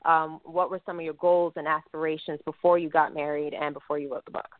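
Soft-spoken woman host on a phone line. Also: low-pitched, little pitch movement, brisk, no room sound. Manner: soft-spoken, many "you know" fillers. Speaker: host and evangelist. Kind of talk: talk show interview.

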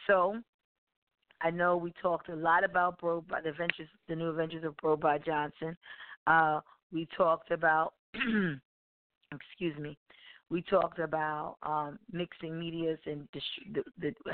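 A woman hosting a live radio interview, introducing her guest in a rambling, halting way while losing her voice. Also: low voice, clean tone, no echo. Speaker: radio show host. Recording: tinny phone-like radio audio.

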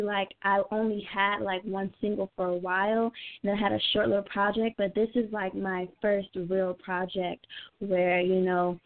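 A young woman with an American accent talks as an interviewed singer over a tinny, phone-like connection. Her voice sits at a medium pitch. She is informal and earnest, often repeating phrases as she explains herself. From United States, Atlanta area. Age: young adult. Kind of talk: casual interview chat. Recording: tinny phone-line sound.

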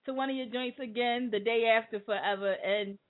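A woman's voice: a little high, fairly even intonation, a little fast, crowd noise. Laughing woman radio host over a phone line. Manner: laughs often, slangy and playful. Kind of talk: casual interview chat.

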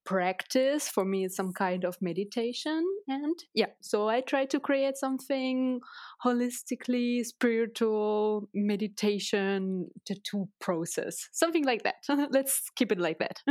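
A woman's voice: a little high, measured pace, a little mellow, dry recording, studio quality. A woman with a Russian accent, a Russian native speaker, talking as a tattoo artist guest in a casual interview, hesitant and filling her speech with "like".

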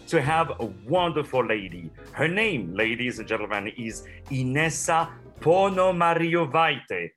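Effusive man hosting, bright and high. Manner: effusive, formal announcer-style welcomes. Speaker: interview host. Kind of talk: radio interview hosting.

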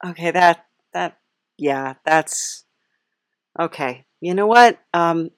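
A woman's voice: on the low side, slow pace, dry recording, clean studio sound. A woman hosting a casual comic podcast interview in a hesitant, awkwardly chirpy, giggly manner. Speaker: podcast host.

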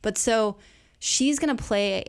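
A woman's voice: fairly high pitch, slow pace, clear, resonant tone. A woman guest co-hosting a podcast, discussing films conversationally and thinking aloud. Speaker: guest co-host. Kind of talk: casual film discussion.

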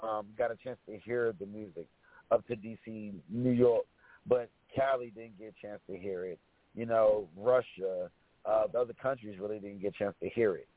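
Raspy man telling his story down a phone line. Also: on the low side, normal speed, dry recording. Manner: relaxed storytelling with many fillers. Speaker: rapper guest. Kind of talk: casual interview chat. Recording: tinny phone-line sound.

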